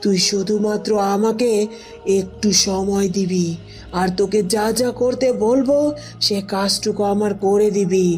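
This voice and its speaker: A woman playing a vengeful, menacing sorceress in a fairy-tale cartoon, speaking with deliberate emphasis. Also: mid-range voice, steady tone, a little mellow, soft background music, lightly acted. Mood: scheming, resolute. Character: vengeful, menacing sorceress, mother of tantric magic.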